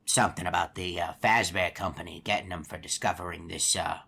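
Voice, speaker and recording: A man doing a deep, bright, rambling hippo animatronic. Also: fairly even intonation, a little fast, vocal fry, husky, heavily acted. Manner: rambling, folksy, full of uh and you know. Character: chatty male hippo animatronic, easygoing storyteller. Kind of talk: game character monologue.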